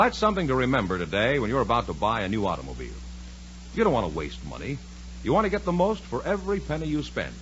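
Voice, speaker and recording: A man's voice: medium pitch, a bit bright. A man with an American accent announcing in a polished, emphatic 1950s radio advertising style. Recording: muffled 1950s radio broadcast, faint noise.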